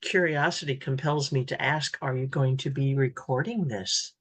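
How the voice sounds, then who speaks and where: A woman hosting a radio interview, speaking in measured, clearly enunciated phrases as she talks with guests and announces events. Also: a bass voice.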